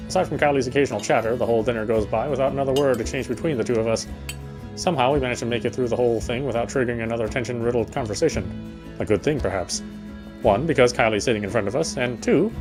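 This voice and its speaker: A man reading first-person visual novel text aloud as narration, stumbling now and then over words. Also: mid-range voice.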